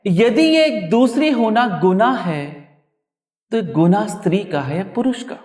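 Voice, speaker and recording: A man reciting his own poetry in a dramatic, emotive style, with deliberate delivery. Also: high voice, animated, darkish tone. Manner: emotive, deliberate, with repeated refrains. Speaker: poet reciting own work. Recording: roomy echo, distant microphone.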